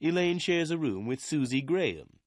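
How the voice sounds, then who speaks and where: A man's voice: a little high, sing-song, normal speed, no echo, clean studio sound, pitched above the performer's own voice. A man voicing a polite, fussy middle-aged lawyer who is formal and easily offended, in an audiobook crime story. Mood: polite, prim. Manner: formal, prim, indignant when pressed.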